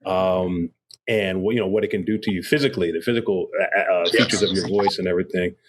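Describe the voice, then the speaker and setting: Lowish voice guy, kinda hesitant, stumbles over words, little hiss behind. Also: steady tone, fast talker. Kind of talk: casual interview chat.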